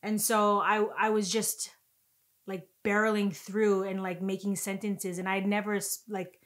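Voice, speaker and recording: Young woman vlogging from her couch, chatty and animated, mid pitch. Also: measured pace. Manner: animated storytelling. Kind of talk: casual chat. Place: living room, indoors.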